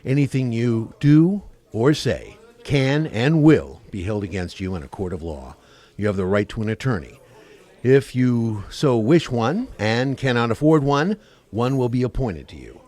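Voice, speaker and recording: A man's voice: mid-range voice, sing-song, unhurried, darkish tone. A man playing a tough, unyielding homicide cop with a wry streak in a radio play, blunt and authoritative. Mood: stern, forceful.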